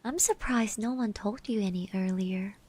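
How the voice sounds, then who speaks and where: A woman doing an ASMR roleplay as a caring girl classmate, soft-spoken and hesitant, close to the mic and often slipping into breathy whispers. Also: mid-range voice, moderate pace. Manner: hesitant, gently reassuring, speaks of herself in third person. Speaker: ASMR roleplay performer, streamer. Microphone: close microphone.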